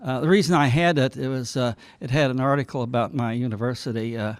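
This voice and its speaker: A man from Ohio with an American accent, giving an informal, halting and meandering account to club members. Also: mid-range voice, fairly even intonation, no room sound. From Ohio, United States. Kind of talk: informal anecdotal report.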